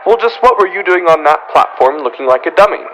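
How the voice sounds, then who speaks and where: A man's voice: fairly high pitch, lively intonation, fairly quick, fairly bright tone, a little hiss or hum, low-quality recording. A man playing a fussy, haughty department store floorwalker, prim and pompous, in an old-time radio comedy. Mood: exasperated, demanding.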